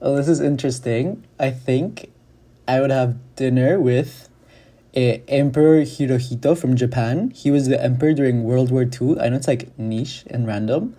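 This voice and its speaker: A man's voice: mid-range voice, moderate pace, dark tone. A young man from Chile who grew up in Argentina, a student guest on a podcast explaining things at length with the odd restart. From from Chile, raised in Argentina. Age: young adult. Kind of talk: casual interview answers.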